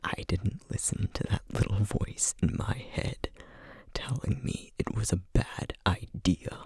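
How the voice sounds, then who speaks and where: Brooding male essence eater for ASMR roleplay, played by a man: low, gravelly, terse, bitter, menacing. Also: unhurried, darkish tone, no echo, exaggerated voice. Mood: anguished, guilty. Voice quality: breathy, gravelly near-whisper. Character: brooding, guilt-ridden male essence eater, a vampire-like monster. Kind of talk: ASMR roleplay audio drama acting.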